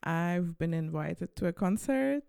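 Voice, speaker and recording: A woman with a Persian accent, a native Farsi speaker, answering interview questions in English in short halting phrases with many pauses and fillers. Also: fairly low pitch, animated, creaky, a crowd in the background. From Iran. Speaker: interview guest, singer.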